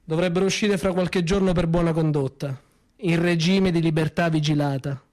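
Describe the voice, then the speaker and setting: Somber man with a mellow, higher voice. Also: fairly even intonation, no echo, studio quality. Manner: measured, grave storytelling with dramatic pauses. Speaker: storyteller. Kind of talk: theatrical monologue narration.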